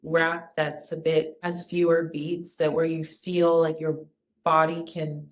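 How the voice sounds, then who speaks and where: Calm low-voiced woman vlogger. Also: takes it slowly. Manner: soft, calm and earnest, with restarts. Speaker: wellness vlogger. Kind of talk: casual personal vlog talk.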